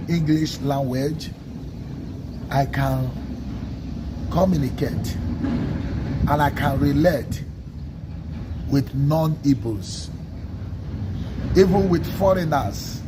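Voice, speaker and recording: A man from Nigeria with a Nigerian accent, an Igbo speaker, giving a measured teaching talk on a livestream and often checking in with "okay?". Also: fairly high pitch, noisy background. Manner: measured, stresses single words, asks listeners "okay?". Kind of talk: slow, deliberate teaching talk.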